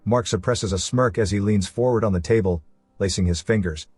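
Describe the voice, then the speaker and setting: A man's voice: lowish voice, brisk, darkish tone, slightly rough, dry recording. A man narrating a suspense story from a script as the narrator of an audio drama. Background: faint music.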